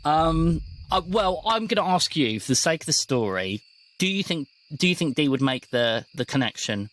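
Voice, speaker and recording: A man's voice: fairly high pitch, sing-song, normal speed, fairly bright tone, low-quality recording. A man working as game master, narrating a tabletop roleplaying game in a conversational way.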